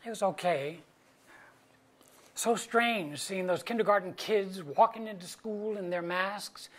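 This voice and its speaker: A man playing a wry, rambling middle-aged suburban husband in a staged play reading, with a husky voice and mock-grand delivery. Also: high-pitched, measured pace, fairly bright tone. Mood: reflective.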